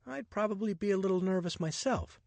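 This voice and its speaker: A man's voice: sits high, a little fast, creaky, darkish tone, no room sound, voice pushed up. A man voicing a bold, chatty young adventurer with a breezy, persuasive manner. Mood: charming.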